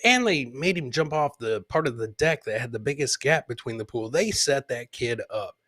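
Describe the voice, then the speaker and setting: A man's voice: medium pitch, expressive, normal speed, a bit bright. A man hosting a live comedy podcast, casually commenting on fail clips and repeating his own lines as he chats with viewers.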